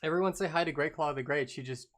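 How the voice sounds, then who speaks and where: A man hosting a casual book discussion, talking in an animated, jokey, rambling way. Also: fairly high pitch, fast talker, crowd noise. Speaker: book club podcast host.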